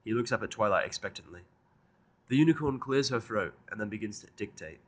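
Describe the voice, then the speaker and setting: A man with a fairly low voice narrates a story in the first person. He reads from a script as the storyteller of a fan-made audio drama. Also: expressive, brisk. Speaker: fan fiction reader and narrator.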